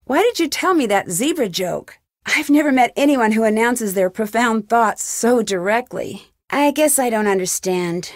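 A woman's voice: on the high side, animated, normal speed, dry recording, clean studio sound, natural voice. A woman playing an inquisitive, lightly wry young female detective in video game dialogue. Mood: curious, friendly. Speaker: video game lead character. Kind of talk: video game dialogue, separate questions and replies.

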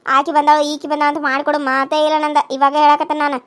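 A sharp-tongued young daughter-in-law snaps back with a lilt, as a woman puts her on. Her voice is very high and quick to scold. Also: flat delivery. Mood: defiant. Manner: snappy, scolding, defiant.

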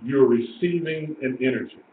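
Male religious teacher, 60s, emphatic and repetitive, medium pitch, echoey small room, phone-like sound. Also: normal speed. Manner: emphatic, repeats phrases for emphasis. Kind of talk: informal sermon, teaching to a small group. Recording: echoey, tinny lo-fi webcam stream with hiss.

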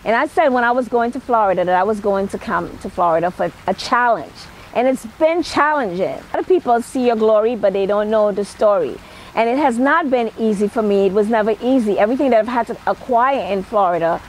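A woman's voice: fairly high pitch, a little fast, slight background noise, low-quality recording. A middle-aged woman with a Trinidadian accent giving an emphatic, motivational personal talk to camera outdoors. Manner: emphatic, punctuated with "okay". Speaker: celebrity hairstylist and makeup artist. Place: outdoor patio at night.

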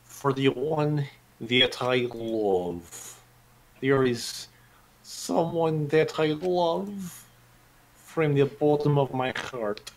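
A man voicing a creepy, lovesick young man with a theatrical, drawn-out delivery in a game fan dub. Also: medium pitch, rough recording. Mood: yearning, reverent. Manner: slow, dramatic, drawn-out delivery.

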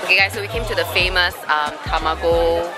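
A woman's voice: fairly low pitch, quick pace, loud music behind the voice, a loud crowd. A young woman from Singapore chatting to viewers in a casual food vlog, filming herself at arm's length in an outdoor market. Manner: chatty, addresses viewers directly while tasting food. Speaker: vlogger. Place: outdoor street market, busy city. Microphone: handheld camera at arm's length.

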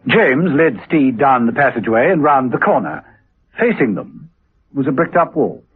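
A man narrating a radio spy thriller in a scripted, measured, suspenseful manner. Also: mid-range voice, very animated, husky. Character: male storyteller of a spy thriller. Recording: muffled, lo-fi radio recording.

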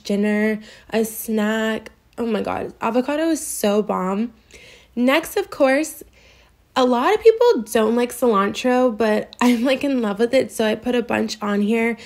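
A young woman with a fairly high voice talks through her cooking as a vlogger. She gushes and fills her sentences with "like." Her tone is easy and chatty. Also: darkish tone. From US East Coast. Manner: chatty and gushing, heavy use of "like". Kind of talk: casual meal prep voice-over. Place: home kitchen.

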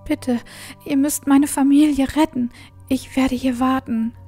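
A woman acts out a terrified middle-aged mother in a fantasy radio play. Her voice is high and soft-edged rather than bright, breathy with fear as she pleads for her family. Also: steady tone, measured pace, soft background music. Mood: terrified, pleading. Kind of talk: fantasy audio drama acting.

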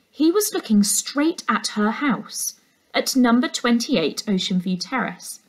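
A woman's voice: mid-range voice, rough recording. Young woman reading a story. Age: young adult. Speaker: teacher reading aloud. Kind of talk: expressive children's storybook narration. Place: furnished indoor room.

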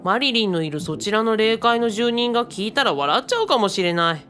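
Young female assistant for radio drama woman acting: courteous, modest, level-headed, with lively melodic delivery. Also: a little high, fairly quick, faint music behind. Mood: explaining, wry. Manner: courteous, animated.

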